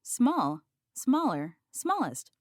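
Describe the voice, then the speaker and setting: A sensible, bossy big sister mouse, acted by a woman from a picture book. She is high and very animated, with a matter-of-fact, chiding way of talking. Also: extremely slow, no echo, studio quality, heavily acted. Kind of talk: children's picture-book read-aloud.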